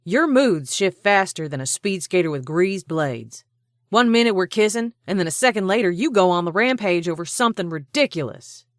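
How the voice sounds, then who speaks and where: A woman voicing a jealous, fiery young woman who hurls sharp, scornful accusations in a raised voice. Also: animated, moderate pace, dry recording, studio quality, natural voice. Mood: furious. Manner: sharp, accusing, high-pitched outbursts.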